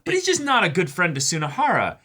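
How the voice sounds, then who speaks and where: A man co-hosting a reaction show, talking through his analysis casually in a halting, emphatic way. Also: sits high, huge pitch swings, sharp tone.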